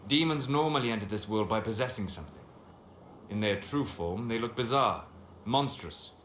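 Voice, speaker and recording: A man's voice: on the low side, expressive, normal speed, fairly bright tone, roomy sound, slight background noise. A man playing a composed, scholarly mage in a fantasy video game, articulate, precise and certain. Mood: calm, persuasive. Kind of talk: dramatic video game acting.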